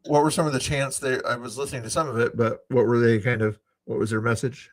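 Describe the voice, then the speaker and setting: A man's voice: medium pitch, fairly even intonation, quick pace, a crowd in the background. A man hosting a live stream, chatting in a laid-back way and speaking to his viewers. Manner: laid-back, talks to the chat. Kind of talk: casual live-stream chat. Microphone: streaming microphone.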